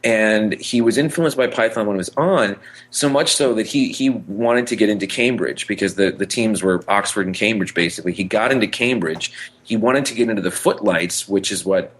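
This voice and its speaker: Male podcast co-host, lower register, casual and enthusiastic, rambling delivery with halting repetitions. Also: a bit bright, slightly rough. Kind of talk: casual chat about pop culture.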